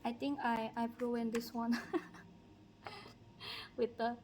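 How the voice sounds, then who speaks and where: A woman from Indonesia, speaking English as a second language, chatting slowly and musingly as she colors on a livestream. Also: fairly high pitch, monotone, background noise. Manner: slow, musing, talks while working, light laughs. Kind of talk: relaxed coloring livestream chat. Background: background music.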